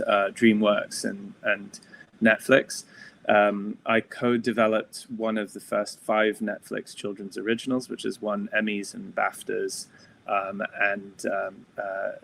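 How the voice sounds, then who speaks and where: A middle-aged man with a low, gravelly voice that stays level in pitch. He introduces himself haltingly, stumbling over filler sounds and restarting his sentences, with a faint hiss of noise behind him. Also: fairly bright tone. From Switzerland. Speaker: venture capital investor. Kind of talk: panel self-introduction.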